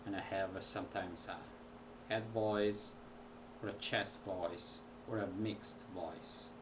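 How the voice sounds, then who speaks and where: A man, a musician, talking casually to camera at home in a halting way with many pauses. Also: deep voice, fairly even intonation. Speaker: musician vlogger. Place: small room at home. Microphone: built-in camera mic. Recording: distant home camera audio with background noise.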